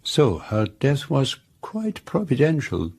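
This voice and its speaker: A man's voice: mid-range voice, huge pitch swings, creaky, darkish tone, breathy edge, exaggerated voice. A man playing a shrewd, courteous, self-assured foreign detective in a radio drama, speaking formally and precisely. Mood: probing, measured. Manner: formal, precise, measured questioning. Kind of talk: radio drama acting and first-person narration.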